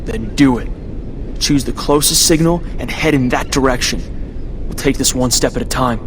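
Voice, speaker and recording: An actor playing a cocky, determined young superhero in a video game, casual and wry. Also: male voice, medium pitch, normal speed, noisy background, natural voice. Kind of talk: video game cutscene acting.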